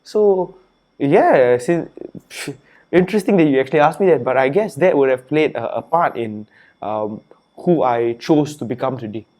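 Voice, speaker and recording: Chatty Singaporean man, relaxed guest. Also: medium pitch, normal speed. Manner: relaxed and chatty, peppers speech with "you know" and "man". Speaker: interview guest, author and entrepreneur. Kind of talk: casual interview conversation.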